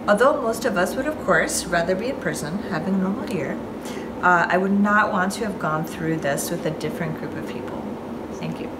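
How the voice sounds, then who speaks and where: Heavy background noise surrounds a woman in her thirties with a fairly low voice. She reads a prepared award speech, recorded by a phone a few feet away. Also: animated, fairly quick. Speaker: award presenter. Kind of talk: scripted award speech read aloud. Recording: very noisy phone recording with ambience.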